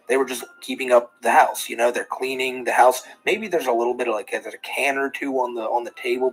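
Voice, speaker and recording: A man with a husky, slightly breathy voice giving sarcastic commentary. He runs down a streamer's claims in a critical, mocking tone. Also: mid-range voice, poor audio. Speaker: commentary channel host. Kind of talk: reaction commentary.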